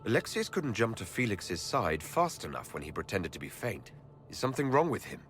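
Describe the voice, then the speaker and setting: An actor playing a guarded, questioning leader and hero in a video game. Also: male voice, lowish voice, big pitch swings, brisk, a bit bright, soft background music. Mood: curious. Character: questioning, wary male elf leader and hero. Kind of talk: video game dialogue acting.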